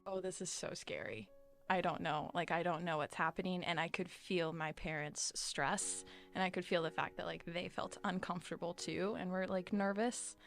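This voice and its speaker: A woman's voice: fairly low pitch, fairly even intonation, normal speed, gravelly, faint music behind. A woman in her twenties from the United States, answering interview questions candidly with lots of fillers. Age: 20s. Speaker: guest.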